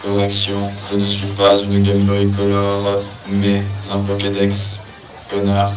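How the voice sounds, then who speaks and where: A man's voice: lowish voice, completely flat delivery, takes it slowly, pitched below the performer's own voice. A man voicing a curt, sarcastic talking gadget that speaks in a robotic, insulting way in a cartoon. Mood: deadpan, rude. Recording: echoey, music behind.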